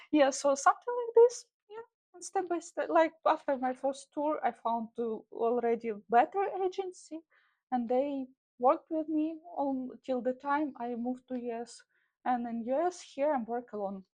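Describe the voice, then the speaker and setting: A woman's voice: on the high side, a little mellow. A young woman from Ukraine with a Ukrainian accent, talking casually in a vlog in loose, filler-filled English. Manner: chatty, loose non-native English with frequent fillers. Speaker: traveling model.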